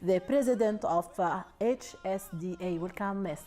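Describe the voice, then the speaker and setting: A woman hosting an interview program, asking her guest questions in halting, simple phrasing. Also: medium pitch, dark tone. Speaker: program host.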